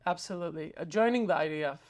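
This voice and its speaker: A man's voice: fairly high pitch, quick pace. A young man from Israel, an interview guest telling a personal story in an earnest way, with frequent hesitant fillers and restarts.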